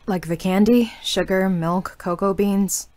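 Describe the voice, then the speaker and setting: A woman's voice: medium pitch, a little slow, a little mellow, no echo, natural voice. A woman voicing an emotional, snappish young heroine in a visual novel, exclaiming and questioning. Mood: puzzled. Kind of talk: visual novel character acting. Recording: music bed behind the voice.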